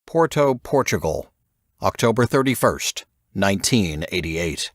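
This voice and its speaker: A man's voice: low-pitched, very animated, a little slow, no echo, clean studio sound. A man reading a thriller novel aloud as scripted narration, with dramatic expression. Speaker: audiobook narrator.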